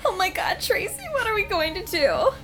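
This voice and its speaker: An actress playing a frightened, excitable young woman with a girlish, pleading manner in an audio drama. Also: very high-pitched, moderate pace, exaggerated voice. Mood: nervous. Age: young adult. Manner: girlish, breathless pleading, slangy.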